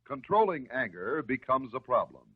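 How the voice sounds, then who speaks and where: A man's voice: mid-range voice, big pitch swings, slightly rough. Tinny 1950s American male film narrator. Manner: measured, instructive, reassuring. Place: voice-over recorded for film. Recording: muffled, narrow-band 1950s film soundtrack.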